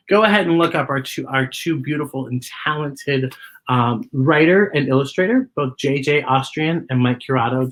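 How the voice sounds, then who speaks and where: A woman with a bright, forceful voice hosts a picture-book story time for children. Her pitch swings widely as she exclaims and asks her young listeners questions, in an expressive storytelling style. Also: husky.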